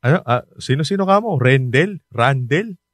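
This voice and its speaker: A man's voice: mid-range voice, animated, moderate pace, dry recording, lightly acted. A man playing a stern overseas father. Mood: dismayed. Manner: scolding, stern, exasperated. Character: worried strict father working abroad. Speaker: father on a long-distance call. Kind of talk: radio drama acting.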